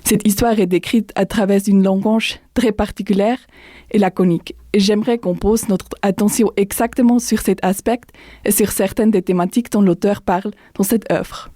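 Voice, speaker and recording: A young woman student hosting a podcast discussion, speaking slowly and carefully. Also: mid-range voice, fairly even intonation, dark tone, no room sound, studio quality. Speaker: host and student.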